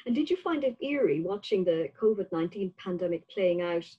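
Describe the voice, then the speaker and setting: An older woman with a husky voice, asking prepared questions in a literary interview over a video call, with slightly dull sound. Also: lowish voice, expressive, a little fast. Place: book-lined room at home, indoors. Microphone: computer mic on a video call.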